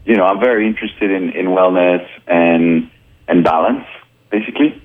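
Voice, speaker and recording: A man's voice: sits low, normal speed. A man from Colombia, a filmmaker and photographer, chatting easygoing as a radio guest with plenty of fillers. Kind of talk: casual radio interview. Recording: narrow phone-like radio sound.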